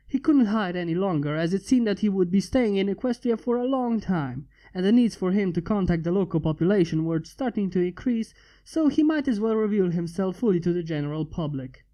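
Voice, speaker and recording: A man's voice: high-pitched, warm tone, highs cut off. A man reading a fan fiction story aloud as scripted narration.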